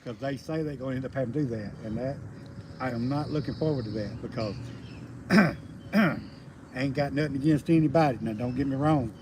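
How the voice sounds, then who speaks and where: A man in his fifties with a Southern US accent, rambling in a folksy, halting way during a casual outdoor livestream. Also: medium pitch. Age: 50s. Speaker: homesteading livestream host, logger. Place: outdoors on a rural roadside. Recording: outdoor noise with birds. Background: birds and rural outdoor ambience.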